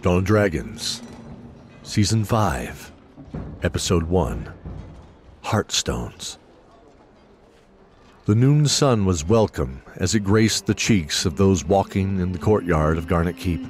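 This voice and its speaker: A man with a deep voice narrates a fantasy audio drama from a script. Music is clearly present behind him. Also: lively intonation, slow pace, pauses often.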